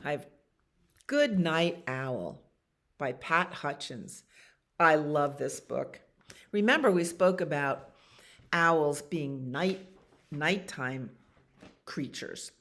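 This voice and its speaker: Female storytime reader: low register, very slow delivery, animated intonation, expressive read-aloud with chatty asides. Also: a little hiss or hum. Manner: expressive reading with acted animal sounds and pauses to comment on pictures. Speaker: children's storytime reader at a library. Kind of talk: read-aloud bedtime stories with casual asides.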